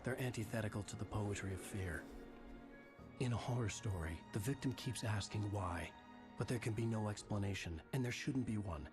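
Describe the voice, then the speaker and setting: Brooding writer narrating a nightmare, played by a man, low and somber, music under him. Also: natural voice. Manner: measured, somber delivery. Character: brooding male novelist narrating his nightmare. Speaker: game narrator. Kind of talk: scripted voice-over narration. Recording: game audio with music behind.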